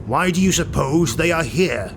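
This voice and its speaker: A man voicing a cruel, imperious highborn warlord in a fantasy audiobook, growling and commanding. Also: animated, moderate pace, slight background noise. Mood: curt, demanding.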